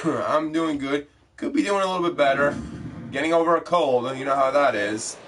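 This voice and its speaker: A man's voice: a little high, measured pace, fairly bright tone, slight background noise. A young man, congested from a cold, chatting loosely and rambling through an intro to his game stream. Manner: chatty, loose, many fillers. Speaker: gaming vlogger.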